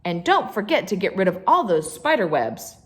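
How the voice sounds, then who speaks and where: A woman reading a storybook, voicing a brisk, commanding male zoo keeper who gives his staff orders. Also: fairly low pitch, big pitch swings. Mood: brisk, bossy.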